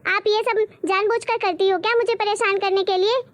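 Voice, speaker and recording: A woman plays a put-upon daughter-in-law in a family skit. She argues and explains in a very high, thin voice, sounding tired of the old woman's accusations. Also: fairly even intonation, fairly quick. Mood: exasperated. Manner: exasperated, arguing, explaining at length.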